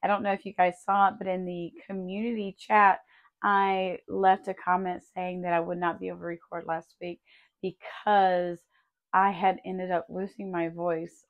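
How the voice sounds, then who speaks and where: A woman with a lowish voice hosts a design tutorial. Her voice is still slightly raspy as it comes back. She chats warmly and walks through each step one at a time. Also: narrow, tinny audio. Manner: friendly, chatty, step-by-step explaining. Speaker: craft tutorial host. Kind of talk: casual tutorial walkthrough.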